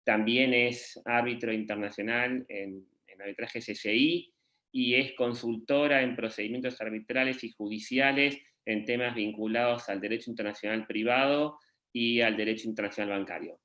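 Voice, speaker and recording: A man's voice: medium pitch, steady tone, moderate pace, pushed and bright. Male moderator, formal introductions, online lecture event. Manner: formal, reading a guest's credentials. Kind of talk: introducing speakers.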